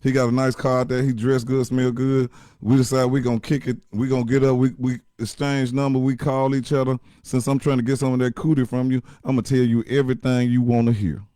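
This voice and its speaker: A man with a deep voice gives blunt, profane relationship advice in casual chat. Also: little pitch movement, fairly quick, mellow, no room sound, clean studio sound.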